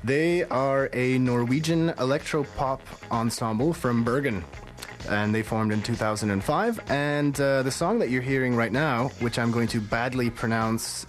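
Easygoing male radio host. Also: medium pitch. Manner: easygoing, chatty, with fillers. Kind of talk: casual radio hosting.

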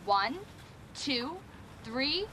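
A woman's voice: sits high, expressive, very slow, pushed and bright, slight background noise, heavily acted. A woman playing an exasperated, sarcastic, prankish young woman in a comedy drama dialogue. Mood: impatient.

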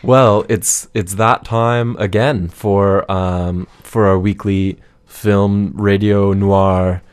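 A man's voice: fairly low pitch, fairly even intonation, drawn-out words, clean tone, no echo. A male radio host chatting casually and a little hesitantly. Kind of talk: casual campus radio chat.

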